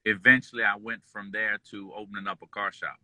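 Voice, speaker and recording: Bright-voiced man telling stories. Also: on the low side, fairly quick, no room sound. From born in Kuwait, raised in Detroit and New Orleans. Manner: relaxed, rambling with many fillers. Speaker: guest. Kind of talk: casual interview storytelling. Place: at home, just pulled into driveway.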